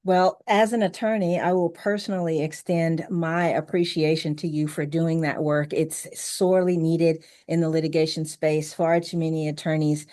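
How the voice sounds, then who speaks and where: A woman's voice: low-pitched, steady tone, normal speed, hardly any pauses, warm tone. A woman hosting an interview show, an attorney, speaking in an upbeat, enthusiastic way. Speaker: host, attorney.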